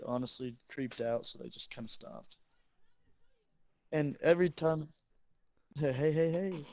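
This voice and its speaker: A teenage guy with a creaky, fry-heavy voice tells rambling stories in a crude, joking way. He talks casually, like he is chatting with a friend. The sound is dull and lo-fi, from a dry headset mic. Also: fairly high pitch, darkish tone, dry recording. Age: teenager, high schooler. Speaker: high school football player telling stories. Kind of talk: casual anecdote telling among friends.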